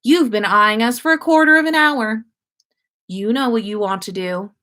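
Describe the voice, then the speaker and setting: A woman voicing a lively, coaxing young witch in a story reading, with a teasing, bossy manner. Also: a little high, moderate pace. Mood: playful, insistent.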